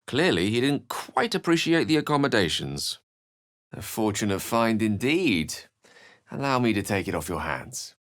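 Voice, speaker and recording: A curious, scholarly wizard with a deep, low voice. He comments wryly on traps, corpses and magic as he explores, articulate and understated. Game voice-line delivery. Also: sing-song, moderate pace, fairly bright tone. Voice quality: dry wit, wry and articulate. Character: witty, curious male wizard adventurer, scholarly. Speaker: companion wizard character. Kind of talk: video game voice lines.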